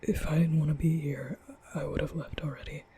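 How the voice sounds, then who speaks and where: A man playing a nervous, gentle young vampire in an intimate ASMR roleplay, speaking hesitantly in a breathy half-whisper. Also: fairly high pitch, flat delivery, exaggerated voice. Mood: earnest, flustered. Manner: hesitant, stammering, slow.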